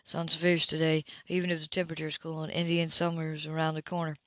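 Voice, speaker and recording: Confident teasing man acted by a woman, low-pitched, laid-back audiobook dialogue, dull lo-fi recording. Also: monotone, a little fast, no echo, close to an everyday voice. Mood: easygoing, conversational. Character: easygoing, teasing, confident man sailing his boat.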